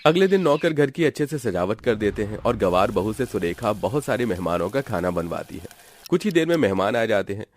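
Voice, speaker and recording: A man's voice: on the low side, sing-song, a little fast. A man giving scripted narration for a Hindi cartoon family story.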